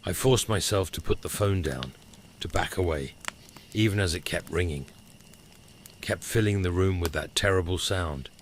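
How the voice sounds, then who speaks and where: A man with a very low-pitched voice narrates a scary story in the first person. His delivery is dramatic and full of suspense, as a horror storyteller. A crackling fire is faintly heard behind him. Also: moderate pace. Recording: clear background crackling noise. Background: crackling fire ambience.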